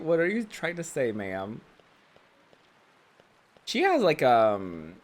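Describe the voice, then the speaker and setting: A hoarse young man streaming a game, rambling casually and saying "like" a lot while he talks over the gameplay. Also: medium pitch, a bit bright. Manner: chatty, rambling, says "like" a lot. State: hoarse, losing his voice. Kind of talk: casual let's-play commentary.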